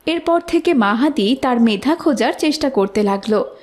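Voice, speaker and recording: A woman's voice: high-pitched, animated, normal speed, a little mellow. A woman working as narrator, reading a scripted moral tale.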